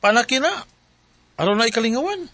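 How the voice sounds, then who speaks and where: An actor as an easygoing male friend in a radio drama. He has a bright, crisp-edged tone and a lively, swooping delivery as he gives friendly advice. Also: very high voice, quick pace, no room sound, heavily acted. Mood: advising. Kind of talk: radio drama acting in Cebuano.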